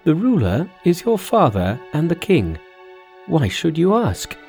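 A man plays a storybook queen mother, anxious and full of sorrow. The voice is pitched well up, with a plaintive, pleading edge. The words are formal and tender, addressed to a beloved son. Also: wildly swooping pitch, measured pace, gravelly, a little mellow, faint music behind, full character voice. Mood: gently insistent. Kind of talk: storybook dialogue in a narrated folk tale.

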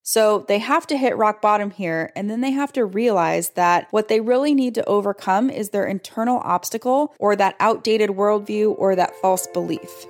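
A woman coaching writers, explaining a character's low point in an earnest, explanatory way. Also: moderate pace, few pauses, faint music behind. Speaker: writing coach. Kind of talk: teaching clip.

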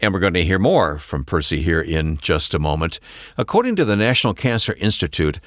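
Low gravelly co-host, lively delivery. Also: male voice, no room sound, clean studio sound. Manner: smooth announcer delivery. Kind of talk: radio announcement and hosting.

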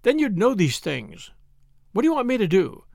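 A man's voice: high voice, wildly swooping pitch, creaky, darkish tone, dry recording, clean studio sound, full character voice. A man voicing a teasing, exuberant male friend in an audiobook, needling in a mock-grandiose way. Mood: exuberant ranting. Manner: mock-grandiose, needling, rapid-fire exclamations. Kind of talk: audiobook character dialogue.

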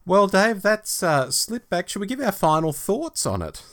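A man co-hosting a podcast in casual discussion, speaking in a measured, agreeable and slightly tentative way. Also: high voice, sing-song, fairly bright tone. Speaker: podcast co-host.

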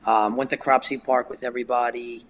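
A man's voice: mid-range voice, flat delivery, a little fast, a little hiss or hum. A man, a guest telling long stories in a casual chat, full of fillers and restarts. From New York, United States. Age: 40s. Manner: rambling, filler-heavy storytelling. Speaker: guest skateboarder.

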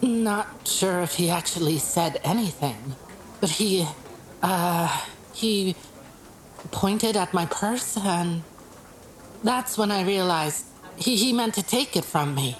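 An actress playing a hesitant, puzzled mugging victim giving testimony in an audio drama, halting and uncertain. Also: female voice, on the low side, animated, a little hiss or hum, poor audio. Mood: shaken, halting.

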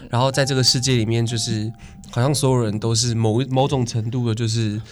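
A man's voice: mid-range voice, level tone, a little fast, darkish tone. A young man with a Taiwanese Mandarin accent, giving casual, somewhat tentative answers as an interview guest. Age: young adult. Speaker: guest, singer and stage actor. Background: music playing behind the talk.

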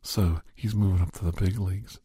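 A middle-aged man hosting a whispered ASMR roleplay, chatting softly and gently teasing the listener as a caregiver. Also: deep voice, fairly even intonation, brisk, husky, dry recording, studio quality. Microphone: close microphone.

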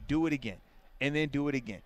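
A man co-hosting a casual sports talk show, breaking down football plays in a relaxed way and dropping "man" often. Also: medium pitch, fast, a little hiss or hum. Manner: relaxed, explains with repeated "man". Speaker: sports show co-host and analyst.

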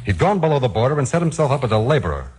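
An actor playing an earnest, serious American border officer, speaking deliberately in a 1950s radio drama. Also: male voice, mid-range voice, sing-song, a little mellow, husky. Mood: grave, explaining. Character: earnest, grave male Border Patrol officer.

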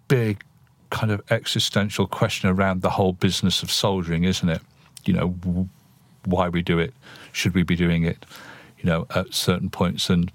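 A man's voice: low voice, dry recording. A man speaking as a podcast guest in casual discussion, thoughtful and tentative, often seeking agreement.

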